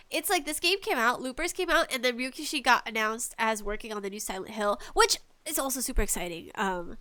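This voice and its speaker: A woman hosting a casual solo podcast about games, talking in an animated, playfully exasperated way with emphatic delivery. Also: very high voice. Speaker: podcast host.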